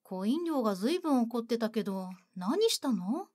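A woman playing a soft-spoken, brooding courtesan yokai with feminine speech, in a Japanese fantasy comedy voice drama. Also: fairly high pitch, sing-song, a little fast. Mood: gentle, curious. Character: soft-spoken, gloomy, grudge-prone female long-haired courtesan yokai.

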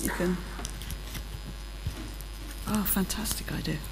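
A woman's voice: lowish voice, slow, vocal fry. A British woman giving a chatty, self-deprecating keynote talk on stage with a handheld mic. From Britain. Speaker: keynote speaker. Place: large auditorium stage with audience. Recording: long hall reverb, faint hum.

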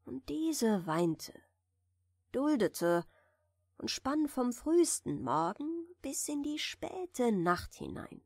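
A woman reading a fairy tale softly and soothingly as a bedtime audiobook narrator, in a measured way. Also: a little high, big pitch swings, creaky, husky, no room sound, clean studio sound. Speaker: audiobook reader of fairy tales.

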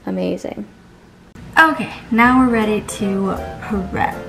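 A woman's voice: medium pitch, slow, vocal fry, pushed and bright, poor audio. A young woman in her twenties vlogging casually in a car, a grocery store and her kitchen, chatty and a little wry and tired. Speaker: lifestyle vlogger. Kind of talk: casual vlog narration. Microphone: handheld camera close to face. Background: background music.

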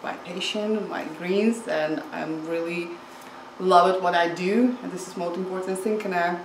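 A woman in her forties from Hungary with a strong Hungarian accent, answering interview questions in a halting way with many fillers, recorded indoors at home on a lapel mic. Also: lowish voice, steady tone, echoey room, a little hiss or hum, rough recording. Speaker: home microgreens grower. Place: home room with window, indoors.

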